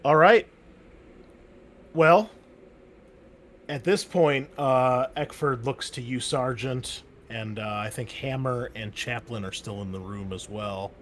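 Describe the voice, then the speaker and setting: A man running a tabletop game as game master, talking in halting, stammering bursts. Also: medium pitch, fairly bright tone, a little hiss or hum.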